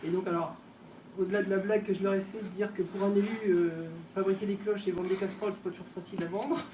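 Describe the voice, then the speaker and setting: A woman local official gives an informal opening speech in a conversational, halting, off-the-cuff way, recorded indoors from a distance. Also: mid-range voice, flat delivery. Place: indoors, tourist office exhibition hall. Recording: echoey room, distant mic, background noise.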